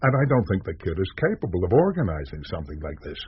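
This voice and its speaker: Muffled radio play, cagey small town newspaper man, lowish voice, really varied intonation, kinda evasive. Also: sing-song, fast talker. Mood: reflective. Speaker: newspaper editor character. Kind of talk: naturalistic radio drama dialogue. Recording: dull lo-fi radio recording, faint noise.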